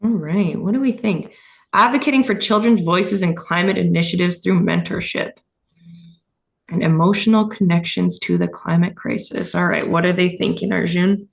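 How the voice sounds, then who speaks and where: A woman's voice: lowish voice, fairly even intonation, normal speed, creaky. A woman hosting a live online youth event in an upbeat, chatty way. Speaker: host.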